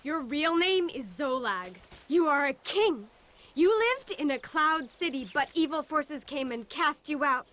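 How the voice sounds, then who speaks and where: A high-pitched female cult devotee preaches like a self-styled queen, solemn and grandiose. She is a haughty video game figure, with faint noise behind her. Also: expressive, a little slow, fairly bright tone. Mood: grandiose, insistent. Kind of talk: video game cutscene acting.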